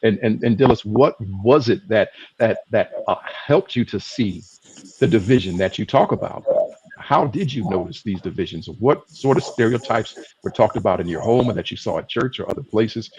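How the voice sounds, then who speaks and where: A man moderating a panel discussion on a video call, asking thoughtful, halting questions with many fillers. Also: fairly low pitch, slightly rough. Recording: dull lo-fi video call audio.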